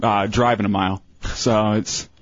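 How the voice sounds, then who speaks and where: A man's voice: fairly low pitch, level tone, moderate pace, muffled recording. A man co-hosting a radio show, teasing his partner in dry casual banter.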